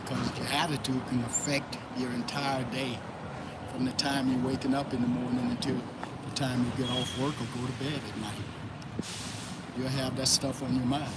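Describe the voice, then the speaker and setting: A man's voice: mid-range voice, little pitch movement, normal speed. A man from Florida hosting a casual motivational livestream, talking loosely and greeting chat viewers by name. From Florida, USA. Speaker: livestream host. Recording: loud traffic noise outdoors. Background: loud traffic noise.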